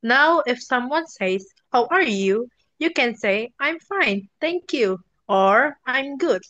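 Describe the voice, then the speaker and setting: A young woman leads a beginner English lesson at a slow, careful pace, her voice set a little high. She lifts it for praise between drills. The recording is dry and somewhat dull, with little room sound. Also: animated, fairly bright tone, dry recording. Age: young adult. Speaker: teacher in a role-play English class. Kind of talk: slow, clear classroom instruction. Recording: dull, dry and slightly muffled.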